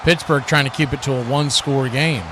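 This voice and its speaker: A man's voice: mid-range voice, steady tone, a little fast, crowd noise. A man doing live radio play-by-play commentary of a football game as the announcer. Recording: clearly noisy live broadcast.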